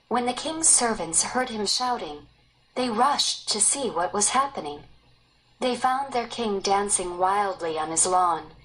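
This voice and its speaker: A woman reading a story aloud in an expressive, deliberate way. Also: medium pitch, poor audio. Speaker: story narrator. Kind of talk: scripted read-aloud storytelling.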